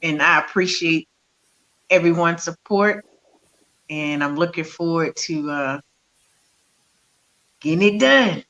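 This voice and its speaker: A woman with a bright, sharp-edged voice speaks softly and hesitantly on a video call. Her audio is dull and lo-fi, as if through a cheap laptop mic. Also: low-pitched, slow pace, pauses often. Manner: soft-spoken and hesitant, calls herself shy. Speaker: stage actor. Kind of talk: casual video call interview.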